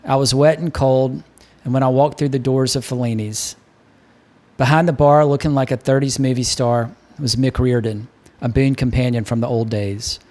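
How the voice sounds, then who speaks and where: A man's voice: medium pitch, fairly even intonation, moderate pace, a little mellow. A man narrating a first-person novel as an audiobook reader.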